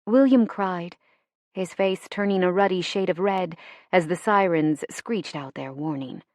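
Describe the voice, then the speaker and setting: A woman reading fiction as an audiobook narrator in an expressive, measured, dramatic storytelling style. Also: fairly low pitch, no echo, clean studio sound.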